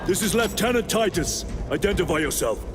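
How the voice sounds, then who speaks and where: A man's voice: high-pitched, expressive, a little fast. A man playing a stern veteran super-soldier lieutenant in a video game, terse, formal and authoritative. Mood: firm. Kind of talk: dramatic video game acting. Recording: loud music and battle din behind.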